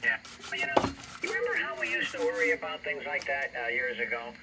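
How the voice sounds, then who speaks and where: A man's voice: mid-range voice, huge pitch swings, fast. An older American man chatting casually over shortwave amateur radio, telling rambling anecdotes. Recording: very noisy shortwave radio reception, heavy static.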